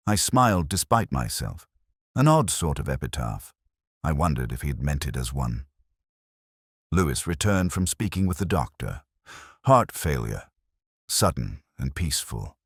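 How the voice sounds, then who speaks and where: A man reading a literary detective story in the first person, with measured, reflective, melancholy phrasing. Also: very deep voice, big pitch swings, husky, no echo, studio quality. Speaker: narrator of a detective mystery story.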